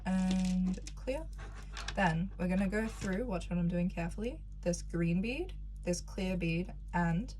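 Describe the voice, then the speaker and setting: A young woman recovering from a cold, calmly walking viewers through a beading craft step by step. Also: lowish voice, a little slow, soft background music. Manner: calm, patient instructions, counting out beads. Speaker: craft tutorial maker.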